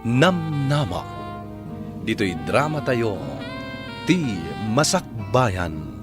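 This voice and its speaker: A man's voice: mid-range voice, big pitch swings, extremely slow. A man with an Ilocano accent announcing a radio drama in scripted, dramatic narration. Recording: dramatic music under the voice.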